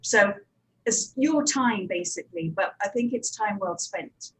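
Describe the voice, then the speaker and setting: A woman's voice: medium pitch, animated, measured pace, fairly bright tone, low-quality recording. A woman speaking conversationally on a conference panel, thinking aloud with some hesitation as she explains her work. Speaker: panel speaker, audio drama producer.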